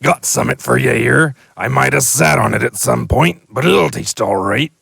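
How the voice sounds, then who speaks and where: Big hearty giant guy, loud and rustic, gravelly as a guy reads him, dry sound. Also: normal speed, no room sound, full character voice. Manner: booming, broad rustic dialect. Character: huge gruff kindly giant man, rough country speech.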